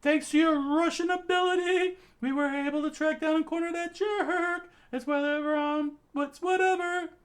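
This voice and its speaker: A man's voice: very high-pitched, fairly even intonation, normal speed, hardly any pauses, voice pushed up. Woman putting on a brash cocky young guy. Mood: boisterous. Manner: loud, boisterous. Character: brash young male fighter, loud and cocky.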